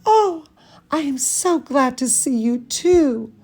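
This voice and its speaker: A woman's voice: high-pitched, lively intonation, slow, darkish tone, voice pushed up, exaggerated voice. A woman voicing an eager, proud young girl who loves ballet, earnest and emphatic, in a storybook reading. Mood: delighted.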